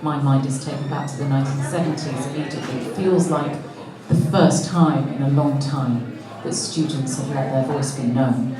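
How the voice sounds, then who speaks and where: Low-voiced woman interviewer, echoey room. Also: fairly even intonation, moderate pace, recorded from a distance, crowd noise. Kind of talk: conversational interview.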